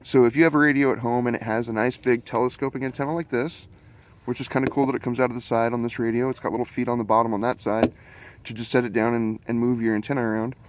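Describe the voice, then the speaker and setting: A man's voice: medium pitch, level tone, quick pace, a little hiss or hum. A man giving a casual, chatty product review, explaining features informally in rambling detail. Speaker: gadget reviewer.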